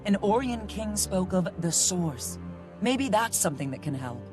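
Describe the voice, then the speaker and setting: An actor playing an earnest, loyal hero commander in a video game, speaking directly and practically. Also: male voice, sits high, sing-song, unhurried. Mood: focused, encouraging. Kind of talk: video game cutscene acting. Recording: voice over loud game music.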